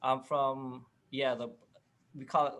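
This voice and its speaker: A man's voice: medium pitch, little pitch movement, takes it slowly, a bit bright. Laid-back young man from the Philippines. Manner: easygoing, laughs often, many fillers and restarts. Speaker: rapper, guest. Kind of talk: casual interview chat.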